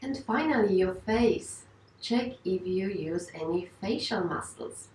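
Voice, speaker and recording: Female yoga teacher, gentle and reassuring guided relaxation, roomy sound, distant mic. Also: medium pitch, lively intonation, unhurried, a little hiss or hum. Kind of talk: guided meditation instruction.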